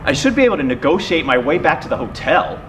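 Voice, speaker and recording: An actor playing an earnest, awkward, adoring physicist suitor in a stage play, eager, literal-minded and theatrical. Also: male voice, fairly high pitch, big pitch swings, fast. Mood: bewildered. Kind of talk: live stage play acting. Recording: echoey theatre stage recording, room noise.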